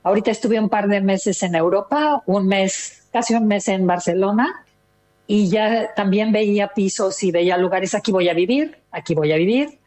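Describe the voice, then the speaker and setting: A woman's voice: mid-range voice, moderate pace, darkish tone. An older woman with a Mexican accent, chatting casually and expressively as an interview guest, telling stories with quoted remarks. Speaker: interview guest, retired listener. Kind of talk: casual conversational storytelling.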